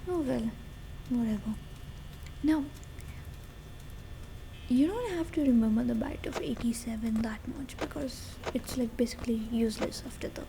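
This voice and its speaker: An excited young girlfriend, played by a woman, chats in a fairly high voice with an Indian accent. She rambles eagerly in breathy half-whispers for an ASMR roleplay, with clear noise behind her. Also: low-quality recording. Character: excited girlfriend gushing about game lore to partner. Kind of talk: ASMR roleplay, soft casual chat in Hinglish. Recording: noticeable background noise under voice. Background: ambient noise, video game playing.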